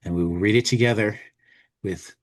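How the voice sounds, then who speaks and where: A man hosting a podcast in casual chat, rambling and halting, often restarting his phrases. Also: lowish voice. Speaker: podcast host.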